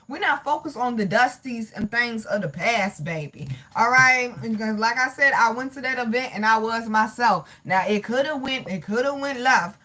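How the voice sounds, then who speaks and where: Woman, tarot livestream host; blunt, profane, sassy; bright, slightly high voice. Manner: blunt, profane and sassy, calling viewers honey and baby. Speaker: tarot reader hosting a live reading. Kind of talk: casual livestream chat reading comments.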